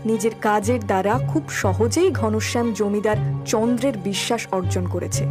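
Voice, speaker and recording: A woman narrates a dramatic tale from a script. Her pitch is average for a woman, and music plays clearly behind her. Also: normal speed.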